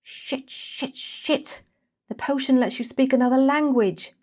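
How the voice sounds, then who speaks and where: A woman voicing an excitable, easily exasperated young trainee witch in a storybook. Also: high-pitched, a little slow, pitched above the performer's own voice. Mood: panicked, exasperated.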